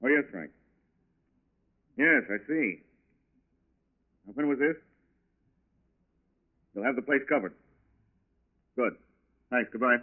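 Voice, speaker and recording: An actor playing a steady, businesslike federal agent in a 1940s radio drama. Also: male voice, medium pitch, slow pace, pauses often. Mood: composed. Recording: muffled old radio broadcast recording.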